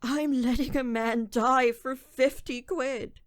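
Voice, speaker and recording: Slow, guilt-ridden young thief played by a woman. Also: high voice, steady tone, dry recording, clean studio sound. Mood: anguished. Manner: brooding, dramatic first-person confession. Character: guilt-ridden young man, desperate petty thief narrating. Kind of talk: radio drama acting with narration.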